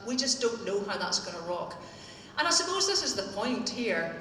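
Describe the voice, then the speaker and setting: A woman's voice: medium pitch, big pitch swings, moderate pace, pushed and bright, a little hiss or hum. A woman with a Northern Irish accent giving a witty, pointed talk to a live audience. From Northern Ireland. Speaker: speaker at a live political event. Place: theatre hall. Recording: echoey hall, speaker far from mic.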